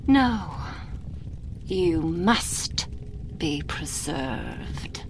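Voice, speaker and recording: A woman playing an imperious, seductive and menacing vampire noblewoman in an ASMR roleplay, haughty and theatrical, with drawn-out phrasing. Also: sits low, big pitch swings, exaggerated voice. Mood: stern, contemptuous. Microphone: binaural microphone. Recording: close binaural mic, faint background noise.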